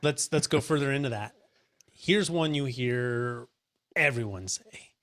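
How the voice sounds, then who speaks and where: A man hosting a casual roundtable chat, talking in an animated, emphatic, opinionated way into a dynamic mic pointed up at his face. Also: medium pitch, a little slow. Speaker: host.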